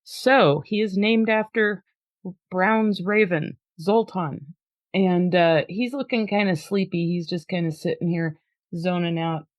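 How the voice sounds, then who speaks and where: A woman's voice: fairly low pitch, unhurried, no room sound. A woman hosting a podcast in an upbeat, chatty way, laughing easily and also reading a poem aloud with drama. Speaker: podcast host.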